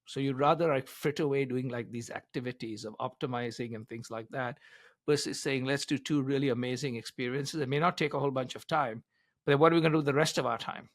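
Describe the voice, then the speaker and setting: An older man speaks as an interviewed advisor, in a medium-pitched voice. He explains things in a conversational but emphatic way, setting out his points in order. The recording is dry, with very little room sound. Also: steady tone, fast talker, no room sound. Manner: emphatic, explains in numbered points with acted-out quotes. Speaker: business author and advisor being interviewed.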